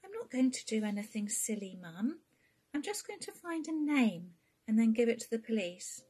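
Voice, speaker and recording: A woman's voice: a little high, sing-song, moderate pace, warm tone, husky, pitched above the performer's own voice. A woman voicing a determined, frightened young woman in a storybook reading, earnest and defiant.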